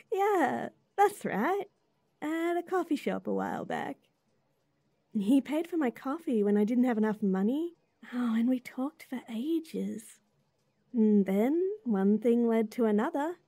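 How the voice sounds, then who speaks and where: A woman playing a sweet, bubbly young rental girlfriend in an intimate roleplay, with a giggly, gently reassuring manner. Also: high voice, dry recording, studio quality, heavily acted. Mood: cheerful, chatty. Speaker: audio roleplay voice actor.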